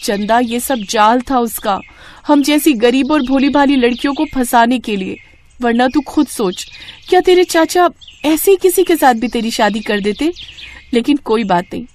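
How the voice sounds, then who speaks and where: A woman's voice: on the high side, expressive, normal speed, darkish tone, slight background noise, lightly acted. A woman voicing a knowing, bitter, worldly abandoned wife in melodramatic story dialogue. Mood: bitter, persuasive.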